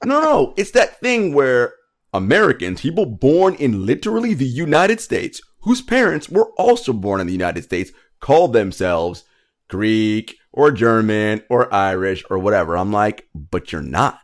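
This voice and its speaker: A young man hosting a casual chat show, animated and quick to laugh, with a hype delivery. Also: medium pitch. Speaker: host.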